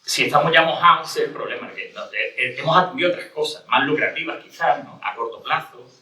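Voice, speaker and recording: A man's voice: fairly high pitch, fast, pushed and bright. A man from Cádiz speaking on a panel, rambling with many restarts and rhetorical questions. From Cádiz, Spain. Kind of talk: panel discussion. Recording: echoey room from a distance.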